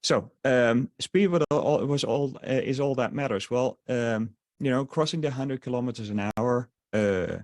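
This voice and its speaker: A man's voice: mid-range voice, normal speed, hardly any pauses, a little mellow, no room sound, clean studio sound. A man from the Netherlands with a Dutch accent, presenting a sales webinar in a rambling way with many fillers and restarts.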